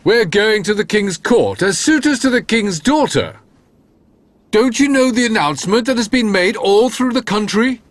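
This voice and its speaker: A man voicing a conceited, self-important young gentleman in a storybook tale. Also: high-pitched, animated, moderate pace, no echo, pitched above the performer's own voice. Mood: boastful. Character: pompous, conceited young brothers. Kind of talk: storybook character voice.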